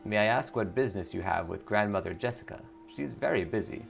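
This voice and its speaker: A fatherly game cult preacher , as a man plays him. He sermonizes solemnly and piously in a low, gravelly voice. Soft music sits underneath. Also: animated, fairly quick. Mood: fatherly, guarded. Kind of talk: video game dialogue read aloud. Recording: music behind the voice.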